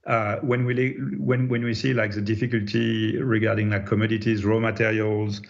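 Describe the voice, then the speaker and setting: Guy caller asking stuff on an investor call, slightly deep, stop-start, muffled audio. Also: little pitch movement, normal speed. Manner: hesitant, stop-start phrasing. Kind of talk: earnings call question, unscripted. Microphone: conference call line.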